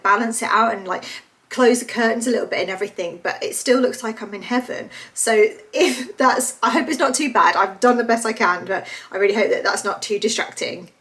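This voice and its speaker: A woman's voice: a little high, fairly quick, fairly bright tone. A young woman talks casually into her camera at home, reviewing a book. Her voice is slightly stuffy and nasal from hay fever. She chats eagerly and fills her sentences with fillers. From United Kingdom. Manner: chatty, enthusiastic, lots of fillers. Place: bright room at home.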